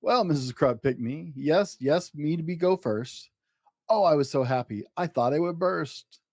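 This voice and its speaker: A man's voice: a little high, moderate pace, no room sound, studio quality. A man voicing an exuberant, boastful eight-year-old schoolboy in a theatrical, rhyming picture-book story. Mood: excited. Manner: excitable, grandiose, theatrical.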